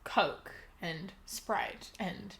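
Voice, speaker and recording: A woman's voice: mid-range voice, drawn-out words, a bit bright. A young woman from New Zealand, her accent shifted toward British English, co-hosting a podcast in casual, playfully blunt chat. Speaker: podcast co-host.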